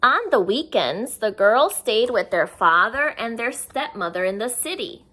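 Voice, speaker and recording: A woman reads a picture book aloud for young children. Her voice is bright and fairly high, with lively, swooping intonation. She takes her time, explaining simply as she goes. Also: unhurried. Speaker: children's story reader.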